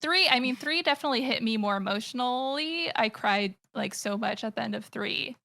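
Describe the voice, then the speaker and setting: A woman's voice: a little high, animated, a little fast, creaky, pushed and bright. An American woman in her thirties, a guest on a podcast, chatting enthusiastically and rambling with lots of fillers and restarts. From United States. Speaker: podcast guest, comic artist and streamer. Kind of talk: casual podcast chat.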